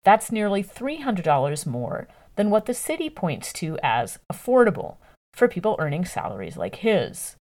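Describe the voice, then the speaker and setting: Female narrator for long-form journalism; lowish voice, highly varied intonation, measured and articulate. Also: very animated, darkish tone. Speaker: narrator reading a news article.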